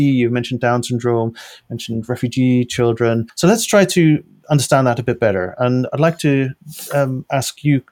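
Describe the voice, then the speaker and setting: A man's voice: medium pitch, a little mellow. Formal man hosting a panel. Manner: polite, formal, measured. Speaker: host. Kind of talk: scripted panel introduction.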